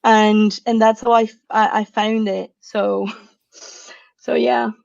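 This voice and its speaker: A woman's voice: on the high side, little pitch movement, slow pace, gravelly, a little mellow, no echo, highs cut off. A woman from Venezuela giving a tech talk over a video call, chatty and often laughing. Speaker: conference speaker.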